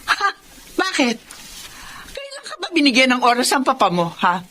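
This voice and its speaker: A stern, overworked mother snaps at her grown daughter in a radio drama. Her pitch swings widely as she scolds, sitting a little high. A faint hiss runs under the old recording. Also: big pitch swings, moderate pace, exaggerated voice. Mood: scolding. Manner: scolding, sharp. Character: strict hardworking single mother. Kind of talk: Filipino radio drama acting.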